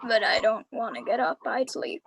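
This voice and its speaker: A woman playing a weary, heartbroken uncle in a live radio drama, with halting delivery. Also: a little high, lively intonation, a bit bright, slightly rough, heavily acted, rough recording. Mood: groggy. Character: weary, heartbroken uncle, slowly recovering after misfortune.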